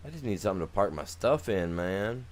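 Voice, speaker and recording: Laid-back low-voiced male streamer. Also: animated, brisk, darkish tone, slight background noise. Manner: laid-back, rambling, talks to chat, trails off and restarts. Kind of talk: casual live gameplay chat.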